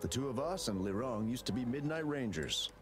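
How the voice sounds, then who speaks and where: A man's voice: medium pitch, lively intonation, brisk, soft background music, close to an everyday voice. An actor voicing an earnest, grieving male guard in a video game. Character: somber loyal male camp guard.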